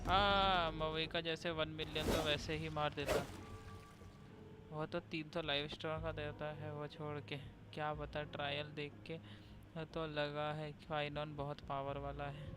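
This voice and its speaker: A man's voice: a little high, little pitch movement, very fast, poor audio. A young man with an Indian accent chatting casually on a gaming livestream, slangy and opinionated. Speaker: game livestreamer. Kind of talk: casual live gaming chat mixing Hindi and English. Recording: loud game music behind voice. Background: loud video game music.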